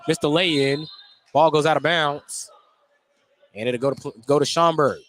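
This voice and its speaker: A man's voice: fairly high pitch, a little slow, fairly bright tone. A man doing live play-by-play basketball commentary as a radio announcer. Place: indoor basketball gym, courtside. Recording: live gym noise behind the voice. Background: gym noise, bouncing basketball.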